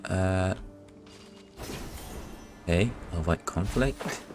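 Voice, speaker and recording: Low-voiced man streaming a game. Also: extremely slow. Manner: muttering, dry asides to the game. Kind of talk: casual live game commentary. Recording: game music behind the voice. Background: game music.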